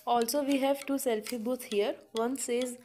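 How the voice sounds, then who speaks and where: A woman's voice: on the high side, steady tone, moderate pace, very noisy. A woman from Chhattisgarh with an Indian accent, narrating a travel vlog. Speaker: travel vlogger.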